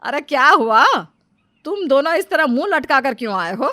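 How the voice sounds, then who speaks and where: A woman's voice: high-pitched, very animated, normal speed, pushed and bright, dry recording, clean studio sound, exaggerated voice. A woman voicing a dramatic older mother-in-law in a cartoon, scolding and wailing.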